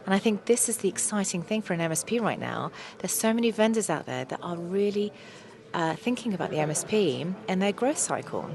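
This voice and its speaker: A woman attorney with a hoarse voice, eagerly explaining her product in an interview at a trade show. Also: medium pitch, expressive. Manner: fast, enthusiastic explaining with many fillers. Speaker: attorney and company representative. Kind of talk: conference booth interview. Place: busy trade show floor. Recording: crowd noise of a trade show. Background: crowd chatter.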